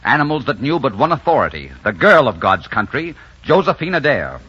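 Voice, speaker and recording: An actor playing a rugged, bold adventurer smitten with love, in dramatic, romantic 1940s radio style. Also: male voice, medium pitch, big pitch swings, a little fast, pushed and bright, slightly rough, full character voice. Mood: romantic, wistful. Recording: dull 1940s radio recording with music.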